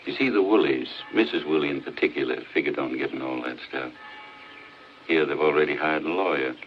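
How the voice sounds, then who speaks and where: A small-town doctor in a 1940s film drama. He has a very deep voice and speaks in a measured, plain way. The soundtrack is muffled with faint hiss. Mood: cautionary. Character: matter-of-fact small-town Southern male doctor.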